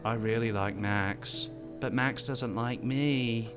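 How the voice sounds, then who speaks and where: A man's voice: medium pitch, slow pace, over music. A man hosting a casual Q&A with friends, reading out viewer questions in a dry, teasing way.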